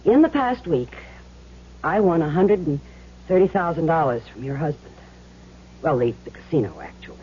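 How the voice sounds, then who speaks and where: A woman's voice: deep voice, big pitch swings, moderate pace, long pauses. An actress playing a tough but good-hearted young woman gambler in an earnest, emphatic, slangy way for a 1970s radio drama. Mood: guarded, businesslike. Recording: muffled, hissy old radio recording with hum.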